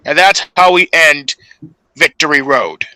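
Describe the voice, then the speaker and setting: A man's voice: on the high side, unhurried, bright, forceful tone, no room sound. A man co-hosting a podcast, talking casually about wrestling in a dry recording. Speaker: podcast co-host.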